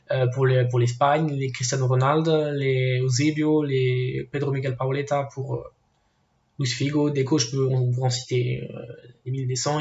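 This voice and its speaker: Man, mid-pitched, hesitant, many fillers, roomy echo, muffled lo-fi sound. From Portuguese family background. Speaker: football video commentator. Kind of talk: casual spoken opinion piece. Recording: echoey room, dull lo-fi sound.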